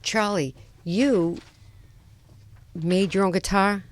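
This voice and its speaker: A woman's voice: medium pitch, slow pace. A woman hosting a radio talk show, chatty and enthusiastic, teasing her guests in casual talk.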